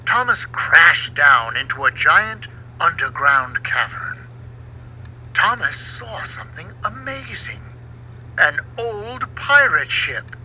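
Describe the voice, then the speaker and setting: A man reading a children's storybook aloud in an expressive, dramatic way. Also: fairly high pitch, slow pace, rough recording. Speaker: book narrator. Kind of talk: scripted storybook narration for children. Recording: home recording with faint background noise.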